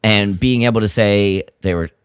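A man's voice: fairly low pitch, normal speed, clean tone, dry recording, studio quality. A man co-hosting a podcast, chatting informally in a hesitant, thoughtful way. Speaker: podcast co-host. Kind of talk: casual podcast chat.

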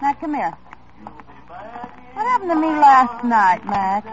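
A woman's voice: high voice, sing-song, slow, slight background noise, exaggerated voice. An actress playing a cool, world-weary young woman bar hostess in a 1950s radio drama, curt and guarded. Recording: muffled old radio recording with music.

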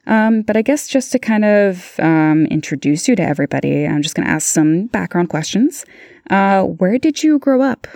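A woman's voice: medium pitch, big pitch swings, moderate pace, vocal fry, warm tone, no room sound, clean studio sound. A young woman from Ontario hosting a casual podcast interview, friendly and chatty with lots of fillers. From Ontario, Canada. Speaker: podcast host.

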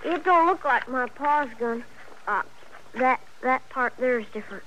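A woman's voice: high-pitched, animated, normal speed, dull audio. An actress playing an eager, curious young frontier boy with folksy speech in a radio western. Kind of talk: 1950s radio western acting. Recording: noisy old radio recording.

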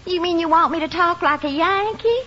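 An actress playing a coy, fluttery Southern American belle in a 1950s radio comedy, with a coquettish drawl. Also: female voice, very high-pitched, brisk. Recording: noisy, muffled 1950s radio recording.

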